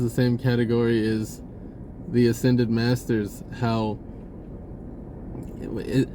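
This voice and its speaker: A man speaks slowly at an everyday middle pitch, halting and searching as he rambles through an informal spiritual monologue. His speech is laid-back and slangy, with repeated words. There is noticeable background noise behind him. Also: a little mellow. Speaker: spiritual vlogger.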